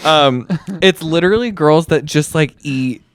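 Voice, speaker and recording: A man's voice: on the high side, animated, unhurried, clear, resonant tone, no room sound. A man co-hosting a podcast in casual comedic chat, with a playful, sassy, teasing way of talking. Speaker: podcast co-host.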